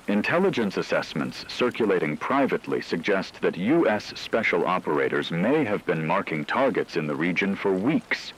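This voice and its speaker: A deep-voiced, solemn radio anchor played by a man delivers an emergency news script. The delivery is measured and ominous, with widely moving intonation. A faint layer of noise sits behind the voice. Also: poor audio. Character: grave male radio anchor reporting a looming war.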